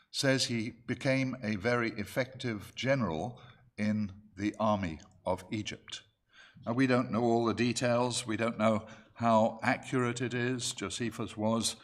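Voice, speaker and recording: Measured man preaching a sermon. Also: lowish voice. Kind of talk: sermon, expository Bible teaching with scripture reading.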